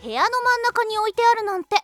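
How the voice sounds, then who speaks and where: A woman's voice: very high voice, very animated, fast talker, a bit bright, no echo, soft background music, full character voice. A woman playing a cheeky, lazy teenage schoolgirl with a casual, brash manner in an anime audio drama. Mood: curious.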